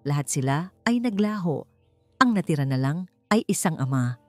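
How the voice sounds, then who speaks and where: A woman's voice: low-pitched, expressive, moderate pace, dry recording. A woman narrating a dramatic audiobook story in a scripted storytelling style. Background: background music.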